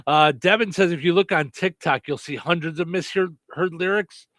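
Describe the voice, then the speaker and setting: Bright, lively high-voiced man host. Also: normal speed, no echo. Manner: lively, playful, lots of affirming murmurs. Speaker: radio host reading listener comments. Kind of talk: casual chat.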